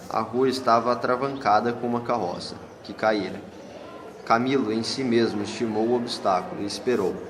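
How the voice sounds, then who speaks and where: Low-voiced Brazilian man narrating in echoey hall. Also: noisy background. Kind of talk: scripted radio drama narration. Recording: echoey hall, long reverb, music bed. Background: music.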